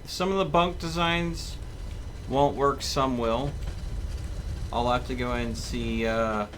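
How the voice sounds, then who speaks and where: A man giving casual live commentary as he plays a game, thinking aloud in an unhurried way. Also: medium pitch, steady tone, fairly bright tone. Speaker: game streamer. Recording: clear background noise.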